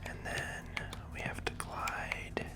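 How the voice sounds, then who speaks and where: A gaming video host giving hushed, relaxed ASMR commentary in a breathy, unvoiced whisper while playing. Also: takes it slowly.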